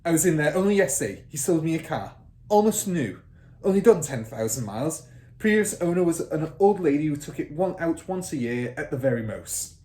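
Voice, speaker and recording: A man's voice: a little high, big pitch swings, fairly quick, dark tone, voice pushed up. A man voicing a fierce, bullying middle-aged headmistress in a children's storybook, barking and snorting with scorn. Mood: gruff, assertive. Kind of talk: children's storybook character reading.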